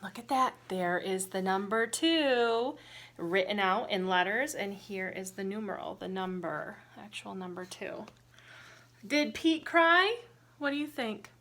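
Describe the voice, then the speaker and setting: A woman teacher reading a children's picture book aloud in an expressive, sing-song way, pausing to ask young listeners simple questions. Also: medium pitch. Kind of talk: interactive children's storybook read-aloud with counting and singing.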